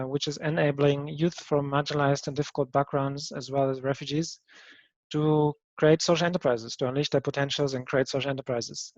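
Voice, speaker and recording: A man from Germany introducing himself on a video call in a plain, measured way. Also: fairly high pitch, no room sound. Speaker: panelist, social entrepreneur. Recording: dull dry call audio.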